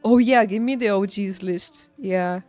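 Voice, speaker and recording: A woman's voice: mid-range voice, unhurried, darkish tone. Girl streamer just hanging out talking to chat, kinda teasing and playful, faint music behind her. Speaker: video game streamer. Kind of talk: casual livestream chat with viewers.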